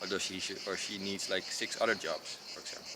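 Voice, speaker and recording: A man's voice: low voice, steady tone, fairly quick, very noisy. A man from the Netherlands with a Dutch accent, explaining his design approach in a casual, hesitant monologue full of fillers and restarts. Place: outdoors in rural mountains.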